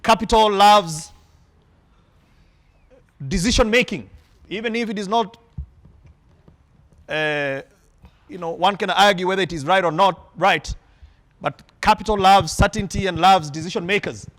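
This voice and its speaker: Measured man giving a keynote. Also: high voice, a bit bright. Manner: measured, emphatic public speaking with frequent pauses. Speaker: keynote speaker. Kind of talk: formal keynote address. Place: large hall with an audience.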